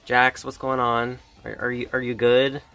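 A man's voice: mid-range voice, monotone, measured pace, creaky, pushed and bright, clear, resonant tone, soft background music. Chatty teen boy reviewing toys. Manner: chatty, offhand. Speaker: toy reviewer. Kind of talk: casual toy review vlog.

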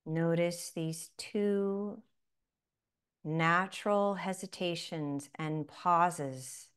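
A woman leads a guided breathing meditation in a smooth, clear voice. She speaks very slowly and softly, leaving long pauses between short phrases. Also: on the low side. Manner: slow, soft-spoken, with long pauses.

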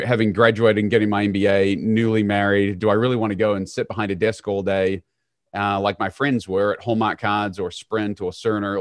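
A fairly low-voiced man, speaking as an interview guest. He talks in a relaxed, easygoing way, with plenty of "um"s. His voice comes through a close studio mic and sounds dry, with no room echo. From New Zealand. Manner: relaxed, frequent "um" fillers, self-deprecating. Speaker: guest, real estate CEO. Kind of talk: conversational storytelling interview. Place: small home office, indoors. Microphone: studio mic on boom arm, video call.

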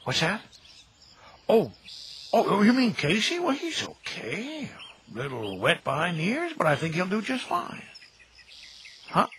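Bright-voiced, folksy older caretaker. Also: male voice, high-pitched, huge pitch swings, a little slow, pauses often, a little hiss or hum, exaggerated voice. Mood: gentle, friendly. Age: middle-aged. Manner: chatty, gentle, homespun storytelling. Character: kindly, folksy older cemetery caretaker, nostalgic man. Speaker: actor in an audio drama. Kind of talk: naturalistic radio drama dialogue.

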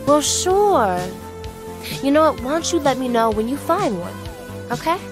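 High-voiced sarcastic clubgoer. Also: female voice, sing-song, moderate pace, music in the background. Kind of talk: video game character acting.

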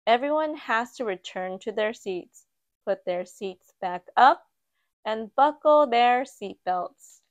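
A woman reads a children's picture book aloud at an unhurried pace, gentle and expressive. Her voice sits a little high, and the recording is close and dry with no room sound. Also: dry recording. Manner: slow, gentle and expressive, lifting for quoted lines. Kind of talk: read-aloud storytime narration. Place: indoors at a table, book filmed from above.

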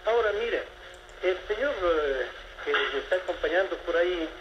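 A man in his seventies calls in, speaking politely and formally but wandering through his point with frequent restarts. His voice sits a little high, and the line sounds muffled and roomy, with a noisy background. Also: lively intonation, normal speed. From Ecuador. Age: 70s. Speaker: caller. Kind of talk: phone-in complaint to a radio show. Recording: echoey, muffled phone-in with background noise.

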